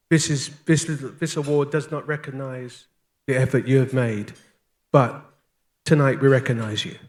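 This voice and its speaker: A man presenting an award, speaking haltingly and searching for his words. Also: medium pitch.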